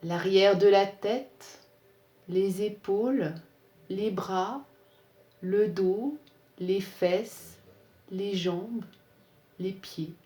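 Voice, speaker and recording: Slow, mellow woman guiding relaxation. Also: mid-range voice. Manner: calm, soothing, measured phrasing with pauses. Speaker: yoga nidra instructor. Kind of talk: guided relaxation meditation. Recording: roomy, echoing sound.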